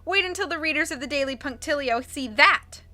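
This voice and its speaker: A woman's voice: sits high, a little fast, sharp tone, no echo, pitched above the performer's own voice. A woman voicing a pushy, eager newspaper reporter in a storybook reading. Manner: gushing, eager. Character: pushy gum-chewing female newspaper reporter.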